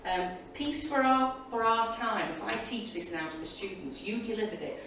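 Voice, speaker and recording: A woman's voice: medium pitch, lively intonation, normal speed. A woman speaks as a panelist in a noisy, heavily reverberant hall, some way from the mic. Her delivery is informal and enthusiastic, wandering from point to point like a teacher thinking aloud. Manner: chatty, enthusiastic, digressive. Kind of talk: informal spoken reflections to an audience. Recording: echoey hall, distant mic, loud room noise.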